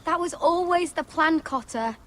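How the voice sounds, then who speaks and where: High-pitched, sharp-tongued wildling sister. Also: female voice, moderate pace, slight background noise. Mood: scornful, challenging. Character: blunt, suspicious, protective free-folk sister. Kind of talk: dramatic video game acting.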